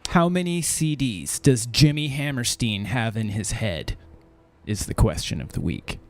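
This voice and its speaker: A man's voice: medium pitch, expressive, measured pace. Dry-witted podcast co-host man. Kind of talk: casual comedic podcast chat.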